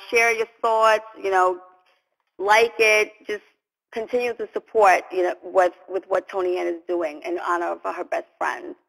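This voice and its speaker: A woman hosts a conversational interview with a very creaky voice at a middle pitch. She sounds supportive and halting, repeating phrases as she goes. The recording carries a long reverb. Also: fairly bright tone. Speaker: host, interviewer.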